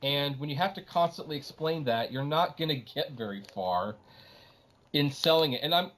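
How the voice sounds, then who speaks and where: A man's voice: a little high, expressive, moderate pace, fairly bright tone. A man hosting a podcast, giving his opinions casually and emphatically, often acting out what other people say. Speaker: podcast host.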